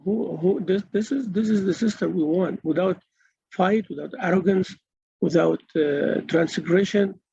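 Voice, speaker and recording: A man teaching a religious lecture to women students, earnest and halting, with many pauses and repeated phrases for emphasis, on a dull lo-fi recording. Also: sits high, creaky, warm tone, husky. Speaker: Islamic teacher and mentor.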